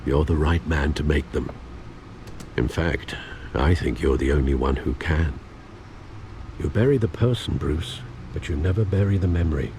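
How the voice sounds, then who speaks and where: An older man with a British accent playing a loyal, caring elderly butler in a video game, measured, formal and earnest. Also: a bass voice, darkish tone, a little hiss or hum. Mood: solemn, caring. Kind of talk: dramatic video game voice acting. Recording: music behind the voice.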